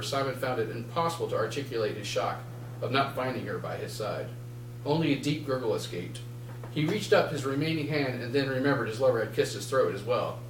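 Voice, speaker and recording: Man reading fiction aloud, roomy and distant. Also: medium pitch. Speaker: author reading from own novel. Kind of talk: live dramatic prose reading. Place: indoor bookshop reading event. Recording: roomy, distant mic, faint noise and hum.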